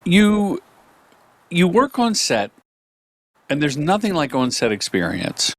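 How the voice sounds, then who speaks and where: A man's voice: on the high side, takes it slowly, dry recording. A man hosting an interview podcast, joking and riffing playfully with his guest. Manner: jokey, riffs playfully, animated. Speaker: podcast host. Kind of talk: casual interview chat.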